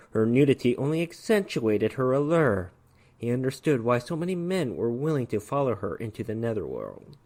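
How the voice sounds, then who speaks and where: Story narrator, mid-pitched and very animated, reading scripted fiction dramatically.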